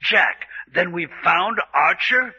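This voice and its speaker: A man's voice: high voice, lively intonation, takes it slowly, pushed and bright, slightly rough, full character voice. A man playing a polite, earnest adventurer companion who questions in a proper manner, in old-time radio mystery style. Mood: earnest concern. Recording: muffled lo-fi radio recording, long reverb.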